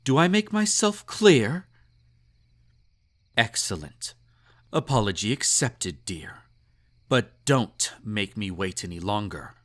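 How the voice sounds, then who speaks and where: A man's voice: lowish voice, sing-song, takes it slowly, pauses often, a bit bright, heavily acted. A man playing a snobby, possessive emperor in an intimate romantic audio roleplay, indulgent and menacing, with a haughty, purring, condescending delivery. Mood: jealous, commanding, then smug, indulgent.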